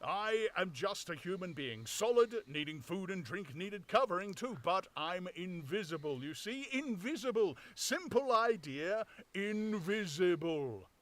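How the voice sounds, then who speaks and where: A man voicing an invisible man who speaks slowly and deliberately, pressing each point. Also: high-pitched, animated, fairly bright tone, voice pushed up. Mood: insistent. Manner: slow, deliberate, emphatic. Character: calm, insistent invisible man, measured.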